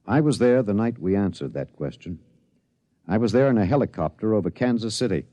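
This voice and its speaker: An American man playing an earnest radio newsman, reporting gravely and formally in a 1950s radio drama. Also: on the low side, animated, fairly quick, mellow, lightly acted. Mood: somber. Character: earnest network radio newsman narrating a space rescue. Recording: faintly noisy 1950s radio recording.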